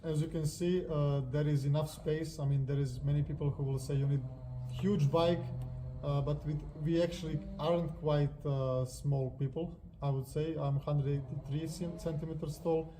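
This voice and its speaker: A man's voice: a little high, fairly even intonation, moderate pace, noisy background. A man with a Serbian accent hosting a motorcycle review in casual, unscripted vlog talk.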